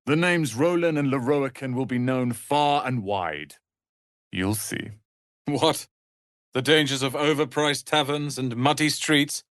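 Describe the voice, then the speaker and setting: An actor playing a proud, bitter young tiefling wizard in a video game, speaking in a haughty, theatrical, sardonic way. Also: male voice, medium pitch, a little slow, a bit bright, dry recording, clean studio sound, natural voice. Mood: smug, eager. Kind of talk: video game character acting.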